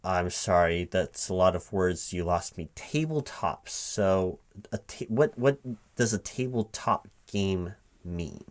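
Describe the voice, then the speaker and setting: A man speaking aloud in a one-sided job interview ASMR roleplay as a recruiting manager, halting and puzzled, often repeating things to check them. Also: low voice, expressive. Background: computer keyboard typing.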